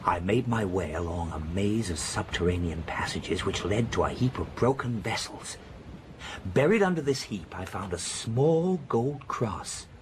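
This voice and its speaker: A man's voice: low voice, expressive, unhurried, a little hiss or hum. An actor playing a frank, worldly professor in a radio drama, telling his story in a confiding, dramatic way. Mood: awed, haunted. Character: worldly, frank male professor recounting adventures.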